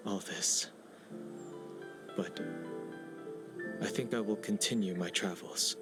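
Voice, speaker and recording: Quiet thoughtful game traveler, lowish voice, slow and hesitant, loud plucked music behind. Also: male voice. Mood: pensive, uncertain. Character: reserved, thoughtful young male traveler. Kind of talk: dramatic video game dialogue. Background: plucked-string music.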